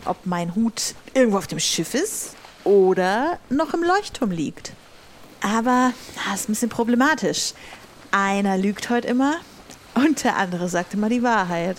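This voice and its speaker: A woman playing a bold, commanding pirate captain in an improvised audio adventure, with theatrical bluster. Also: mid-range voice. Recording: clear background noise. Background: ambience.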